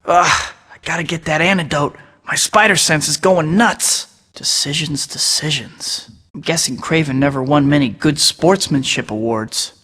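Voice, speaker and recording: A man's voice: a little high, normal speed, pushed and bright, long reverb tail. An actor voicing a young male superhero who tosses out sarcastic taunts in a video game. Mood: muttering. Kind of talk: game voice clips.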